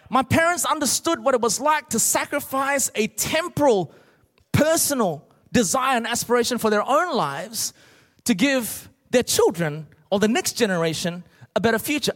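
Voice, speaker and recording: Male preacher, middle-aged, Australian accent. Notably high voice, emphatic comic storyteller, big reverberant hall. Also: fairly bright tone. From Australia, born in Malaysia. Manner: emphatic, rhetorical questions to the congregation. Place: large church auditorium, speaking to a congregation.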